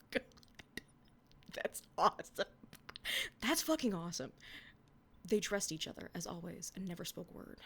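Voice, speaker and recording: A young woman reading her own old fan fiction aloud in a hushed, half-whispered voice, then chatting about it with giggly, self-mocking commentary. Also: fairly low pitch, big pitch swings, unhurried, long pauses, slightly rough. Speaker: podcast reader.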